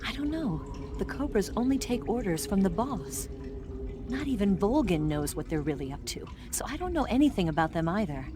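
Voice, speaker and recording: A woman's voice: mid-range voice, big pitch swings, a little fast, natural voice. A woman playing a cool, self-assured spy in a video game, confiding and matter-of-fact as she guides a soldier. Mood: cool, concerned.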